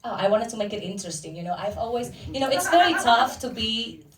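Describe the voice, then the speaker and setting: A woman's voice: on the high side, big pitch swings, fast. Dominican woman in a roomy, distant recording. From Dominican Republic. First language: Spanish. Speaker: actor and filmmaker interviewed. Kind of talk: casual interview answers. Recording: roomy echo, distant microphone.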